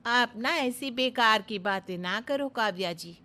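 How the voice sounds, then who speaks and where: A woman plays an earnest village daughter-in-law in an animated moral tale. Her voice is high and very bright, and she speaks with simple, polite conviction. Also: a little slow.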